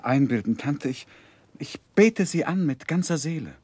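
An actor playing an earnest, sensitive young gentleman who narrates his life in a 1960s German radio play, measured and heartfelt. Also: a little high, expressive, husky, no room sound. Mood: ardent, earnest. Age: young adult.

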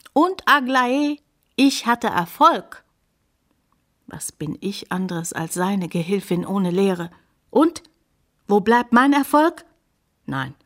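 Casting: bitter scholar's daughter, medium-pitched, pointed and complaining, radio drama. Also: female voice, vocal fry, exaggerated voice. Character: exasperated, bitter grown daughter of an old scholar. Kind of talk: German radio play acting.

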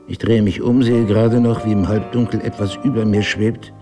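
An actor playing a sardonic middle-aged husband, a writer, in a German radio drama, probing with deliberate irony. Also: male voice, fairly low pitch, flat delivery, music in the background, lightly acted. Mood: brooding.

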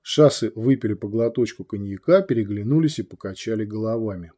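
A man narrating an audiobook with expressive storytelling. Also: on the low side, brisk. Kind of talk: scripted narration.

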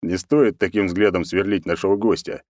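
A man voicing a measured, weary veteran commander in a fantasy audiobook. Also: deep voice, fairly even intonation, darkish tone, no room sound, lightly acted. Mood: reproving.

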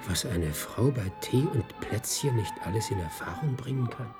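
A man's voice: lowish voice, expressive, moderate pace, over music, natural voice. An actor playing a curious, wry male investigator in a radio play. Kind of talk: German radio play acting.

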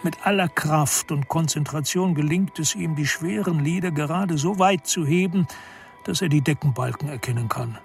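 A man's voice: a little high, normal speed, gravelly, faint music behind. A man delivering scripted literary narration for a radio drama.

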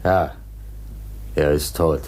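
Grave family man, extremely deep voice, slow, halting, solemn radio drama telling. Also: fairly even intonation, a little mellow. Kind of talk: German radio drama acting.

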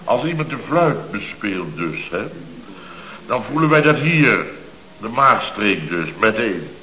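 Blustery dutch contractor boss, sharp forceful voice, old radio comedy, muffled sound. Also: male voice, lowish voice, sing-song, full character voice. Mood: bothered. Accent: Dutch from the Netherlands. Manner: forceful, halting, exasperated storytelling in short bursts. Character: blustering, self-pitying middle-aged male building contractor boss. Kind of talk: 1970s radio comedy acting.

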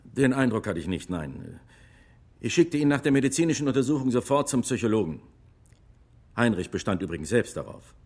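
An actor playing a retired army lieutenant testifying in a radio drama courtroom, husky and matter-of-fact. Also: male voice, mid-range voice, big pitch swings, brisk, a little mellow. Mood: matter-of-fact, earnest.

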